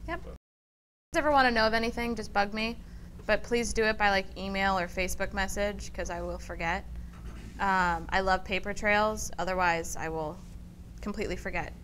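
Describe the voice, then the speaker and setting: A woman hosting a tech meetup and making casual announcements to a room in an upbeat, chatty, loud way. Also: medium pitch, fairly even intonation, moderate pace, slight background noise. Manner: upbeat and chatty, says she has a loud voice. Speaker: meetup organizer and host. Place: meeting room with an audience.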